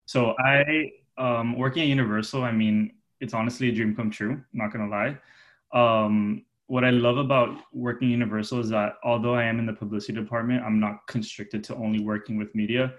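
Man in his twenties, even narrow intonation, mid-pitched, informal conversational interview guest, rambling. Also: brisk. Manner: informal, enthusiastic wording, rambling with self-corrections. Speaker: interview guest, junior publicity manager.

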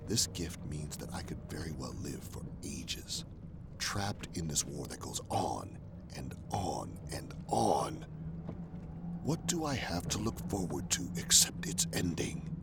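A gravelly, ancient, weary traitor. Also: male voice, a bass voice, very animated, takes it slowly, heavy background noise, heavily acted. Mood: persuasive. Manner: persuasive, weary, often hushed. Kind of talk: audio drama acting. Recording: loud music underneath.

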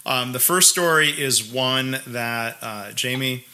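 A man with a very bright, forward tone co-hosts a relaxed chat show over a video call. He talks in a loose, halting way, circling back with fillers and restarts before landing his point. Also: medium pitch. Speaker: podcast co-host. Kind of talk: casual conversation.

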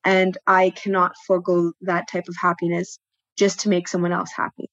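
A woman whose first language is French, a guest speaking reflectively with frequent pauses and restarts. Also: fairly low pitch, completely flat delivery, fairly bright tone, no room sound, muffled recording. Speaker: podcast guest, tattoo studio co-owner. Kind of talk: personal interview talk.

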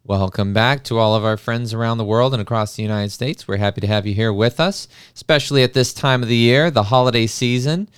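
A man hosting a podcast in a casual, folksy, halting way. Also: medium pitch, clean tone. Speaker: podcast host.

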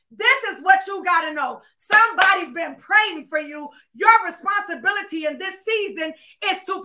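An American woman preaching an impassioned sermon, exhorting emphatically with repeated phrases that often rise to a shout. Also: very high voice.

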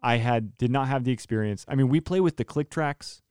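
A young man talks through a personal story in an easygoing podcast conversation, and his voice comes across smooth and resonant. He is earnest and restarts his sentences often. The sound is close and dry, with no room around it. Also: medium pitch, fairly quick, darkish tone, dry recording. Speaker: worship leader. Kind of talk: casual podcast chat.

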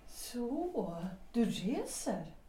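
An actress playing a melancholy, composed married woman who speaks in measured, reflective tones, recorded in an echoey room. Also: on the high side, sing-song, mellow.

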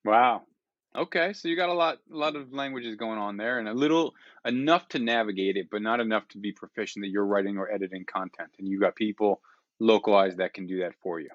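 Low-voiced man host, dull dry sound. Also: a little fast, no room sound. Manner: friendly, paraphrasing follow-up questions. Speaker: podcast host. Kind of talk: casual interview.

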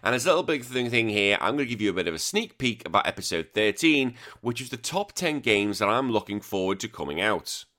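A man hosting a retro gaming podcast in casual chat, a bit croaky, blunt and joking, swearing freely. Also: on the low side, lively intonation, brisk, hardly any pauses, bright, forceful tone. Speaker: podcast host.

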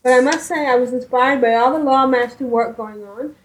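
A woman with a high voice co-hosts a relaxed gaming podcast. She reads listener messages aloud in a cheerful, affectionate way and giggles between lines. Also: a little fast, poor audio. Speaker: podcast co-host. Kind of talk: casual chat, reading listener emails aloud.

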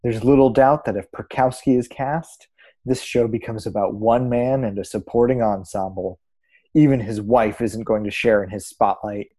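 A man playing an earnest small-town arts radio co-host, presenting in a deadpan, formal, mock-serious scripted style. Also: lowish voice, normal speed, natural voice.

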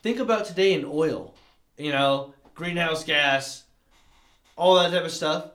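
A man co-hosting a casual podcast chat, explaining his points at length in a relaxed, explanatory way. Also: a little high, unhurried. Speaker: podcast co-host.

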